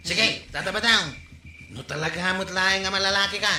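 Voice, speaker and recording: Defiant tricycle owner, brash, very bright, echoey recording. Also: male voice, high voice, moderate pace, slight background noise. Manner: brash, picks fights.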